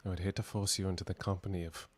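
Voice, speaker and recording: A man's voice: sits low, little pitch movement, rapid-fire, no echo. A man acting a sensitive, formally spoken elf-like mutant outcast in a radio play. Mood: hurt, prickly.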